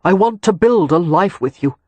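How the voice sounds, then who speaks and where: A woman voicing a glib but earnest young gentleman with a firm, raised, clipped voice. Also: fairly low pitch, animated, moderate pace, slightly rough, no echo. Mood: pleading.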